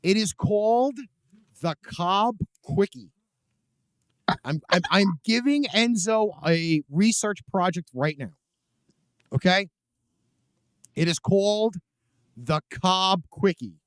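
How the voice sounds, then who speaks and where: A man hosting an internet radio show, speaking in a loud, emphatic way. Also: high voice, expressive, slow pace, long pauses, clear, resonant tone, no echo.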